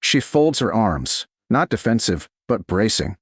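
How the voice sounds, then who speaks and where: A man reading scripted romance fiction as an audiobook narrator, in measured, dramatic short phrases. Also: fairly low pitch, sing-song, husky, dry recording, clean studio sound.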